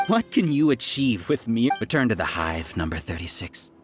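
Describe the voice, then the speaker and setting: A man's voice: medium pitch, sing-song, normal speed, natural voice. An actor playing a smug, grandiose, manipulative male villain scientist in a video game, with a theatrical, mocking, condescending delivery. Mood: cold, commanding. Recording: game music underneath.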